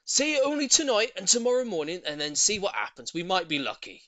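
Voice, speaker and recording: Woman as kindly older storybook gentleman, eager, earnest, lively pitch movement, close dry sound. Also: fairly quick, dry recording. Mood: reassuring.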